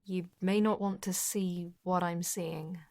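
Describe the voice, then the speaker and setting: An actress playing a concerned, plainspoken front desk assistant at a police station, talking in a familiar, colloquial way in an audio drama. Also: female voice, on the low side, steady tone, takes it slowly, a little mellow, no room sound, clean studio sound. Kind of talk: audio drama dialogue acting.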